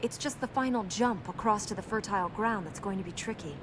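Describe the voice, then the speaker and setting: A woman playing a solemn, resolute young princess in a video game, speaking in an earnest, measured, matter-of-fact way. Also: close to an everyday voice. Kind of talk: natural video game character acting. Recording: game capture with rumbling background noise.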